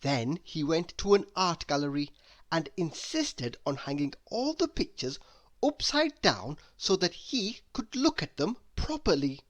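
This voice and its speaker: A man reading a children's story aloud in an expressive, deliberate way. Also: a little high. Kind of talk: expressive scripted narration for children.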